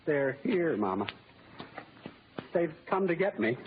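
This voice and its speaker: A man playing a meek, flustered, henpecked husband who doubts himself, in a hesitant, excitable old-time radio comedy style. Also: on the high side, slow. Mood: dejected, anxious. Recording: tinny, noisy old radio broadcast.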